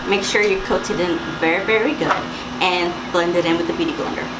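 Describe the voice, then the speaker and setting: A young woman with a raspy morning voice, chatting and instructing through a makeup tutorial at home. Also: fairly low pitch, a little fast, over loud music, rough recording. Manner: chatty, instructive, with fillers and asides. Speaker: makeup vlogger. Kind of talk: makeup tutorial talk to camera. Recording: loud background hiss and air-conditioner hum.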